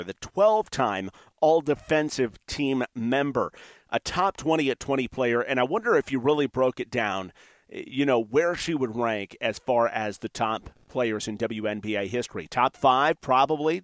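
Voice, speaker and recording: A man's voice: medium pitch, no room sound. Male podcast host, dry close sound. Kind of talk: conversational podcast intro.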